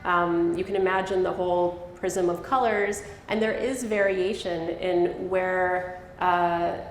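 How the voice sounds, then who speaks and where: A woman answers questions at a public talk, explaining her points thoughtfully with a light laugh now and then. Her voice sits a bit low. The sound carries the echo of a big room, with faint ambience behind. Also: fairly even intonation, slow, a little hiss or hum. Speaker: linguist giving a public talk. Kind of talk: conversational public Q&A. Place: lecture hall. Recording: echoey hall with faint ambience.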